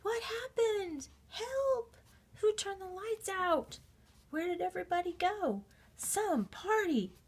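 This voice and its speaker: A woman's voice: very high voice, expressive, slow pace, pitched above the performer's own voice, full character voice. A woman voicing alarmed young bear cubs in a storybook reading, exclaiming in panic. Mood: panicked.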